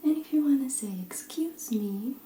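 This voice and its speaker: A woman teaching simple phrases in a soft, often whispered, encouraging way while seated at a table indoors. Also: high-pitched, expressive, moderate pace, reverberant, noisy background. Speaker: language teacher.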